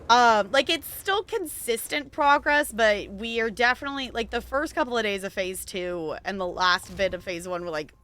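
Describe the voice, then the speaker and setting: Bright-voiced chatty woman streamer. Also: a little high. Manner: rambling, chatty, frequent "like" and laughs. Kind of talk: casual gaming stream chat.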